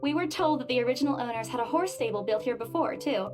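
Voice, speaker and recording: A woman acts as a courteous young female estate agent in a visual novel, high-voiced and eager to please. The delivery is professional customer service, with game music clearly behind it. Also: animated, quick pace, natural voice. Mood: polite, informative.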